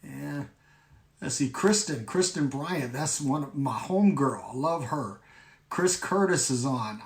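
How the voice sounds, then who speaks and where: A middle-aged American man with a slightly raspy voice, hosting an online ministry livestream in a casual, folksy way and addressing viewers directly. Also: mid-range voice. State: raspy voice today. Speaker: online ministry host and teacher. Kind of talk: casual livestream talk with shout-outs.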